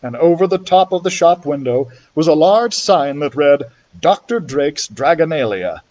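A man's voice: on the high side, normal speed, darkish tone, muffled recording. A man reading a children's story aloud expressively, as a library storyteller would.

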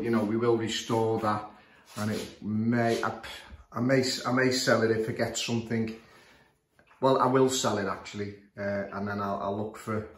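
Low-voiced Liverpool man in an echoey room. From Liverpool, England. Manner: hesitant, many fillers and restarts. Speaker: clock repairer. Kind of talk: casual show-and-tell commentary. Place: room full of clocks, indoors. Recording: echoey room with faint noise.

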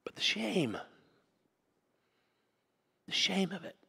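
A man preaching a sermon as pastor, telling a personal story and moving from loud to hushed. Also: high-pitched, big pitch swings, slow pace, gravelly, slightly rough, big-room sound. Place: church sanctuary.

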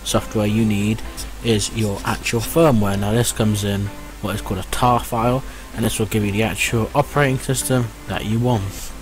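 A man with a slightly low voice walks through a tutorial step by step. He sounds casual and reassuring as he explains. Music plays clearly behind him. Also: steady tone, normal speed, few pauses. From United Kingdom. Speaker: tech tutorial host.

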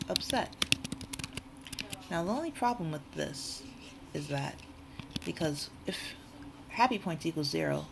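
A woman's voice: low-pitched, vocal fry. A woman giving a casual spoken coding tutorial, informal and thinking aloud as she goes. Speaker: tutorial host. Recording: noisy room with keyboard typing. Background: computer keyboard typing, loud background noise.

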